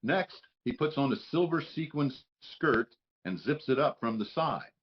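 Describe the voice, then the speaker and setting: Casting: male stage-directions reader, medium pitch, scripted narration, somewhat dull audio. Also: lively intonation, moderate pace. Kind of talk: scripted narration for a table read.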